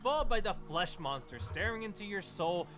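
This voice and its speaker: Guy doing a scripted game review, high animated voice, dramatic and crude, music clearly playing under him. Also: normal speed. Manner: dramatic wording with crude jokes. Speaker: video essayist, reviewer.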